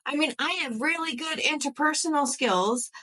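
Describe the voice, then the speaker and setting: A woman's voice: high voice, moderate pace, sharp tone. A young adult woman hosting a podcast, chatting enthusiastically and often saying "like". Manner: enthusiastic, chatty, uses "like" a lot. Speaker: podcast host. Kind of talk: casual interview chat.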